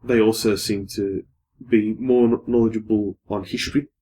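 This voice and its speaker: A man's voice: on the low side, steady tone, normal speed, slight background noise. A young man, a guest in a casual podcast discussion, speaking thoughtfully and hesitantly, often restarting words. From Australia. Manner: thoughtful, hesitant, with restarts, trailing off to a quiet finish.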